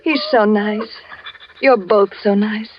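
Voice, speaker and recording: A woman's voice: mid-range voice, fairly even intonation, slow, lightly acted. An actress playing a troubled, tender young woman in 1940s radio drama style. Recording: muffled, very noisy old radio recording.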